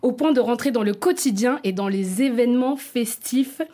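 Guest journalist, woman, playful and lively, laughs often, walks through a prepared piece. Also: on the high side, normal speed. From not Congolese. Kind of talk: presenting a prepared segment.

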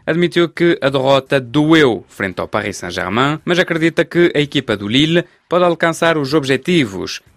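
A man working as a radio sports reporter, reading the news and putting questions to players in a measured, formal way. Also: on the high side, expressive, a bit bright, dry recording. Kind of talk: scripted news reading and interviewing.

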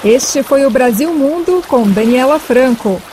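A woman's voice: high-pitched, lively intonation, unhurried, a little mellow, slight background noise. A woman with a Brazilian accent reading scripted narration as host of a news podcast.

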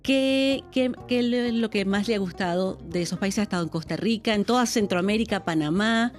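A woman radio interviewer who gently prompts and encourages her guest with questions. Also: medium pitch, fairly quick, soft background music. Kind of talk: broadcast interview.

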